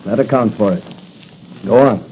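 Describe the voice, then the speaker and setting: An actor playing a cool, brisk masked crime-fighting hero in 1940s radio style. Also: male voice, fairly low pitch, lightly acted. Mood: cool, curious. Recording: muffled noisy 1940 radio recording.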